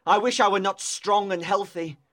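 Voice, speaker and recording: A woman narrator plays a fierce, tormented man in love in a storybook. The delivery is slow and drawn out, anguished and full of drama. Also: mid-range voice, expressive, lightly acted. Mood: tormented, accusing.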